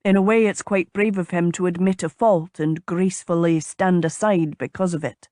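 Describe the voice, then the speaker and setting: A woman voicing a courteous, gallant gentleman suitor in an audiobook, measured and formal in manner. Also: lowish voice, fairly even intonation, no room sound, studio quality, natural voice. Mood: reasonable. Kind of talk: audiobook character dialogue.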